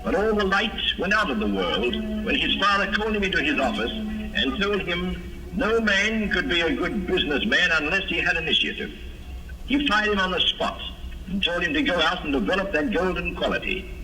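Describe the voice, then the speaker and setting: Old-time radio narrator, high-pitched, formal, measured, scripted storytelling, music underneath, vintage broadcast. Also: male voice, lively intonation, sharp tone, exaggerated voice, poor audio. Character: formal male narrator of a family radio serial. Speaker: radio announcer.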